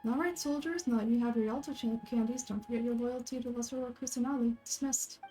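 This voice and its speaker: A woman's voice: a little high, steady tone, fast talker, mellow, faint music behind, lightly acted, low-quality recording. Woman as a proud, playful festival knight. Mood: commanding. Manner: mock-military, declaiming.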